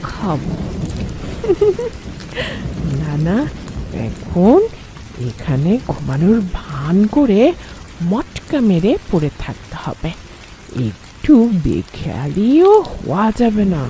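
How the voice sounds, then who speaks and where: Woman acting sly ghost girl, slow and swooping. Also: wildly swooping pitch, mellow, slight background noise, full character voice, poor audio. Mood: sinister, gloating. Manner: pleading act, sinister low muttering when plotting. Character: sly hungry female ghost posing as a helpless young girl. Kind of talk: Bengali cartoon horror acting.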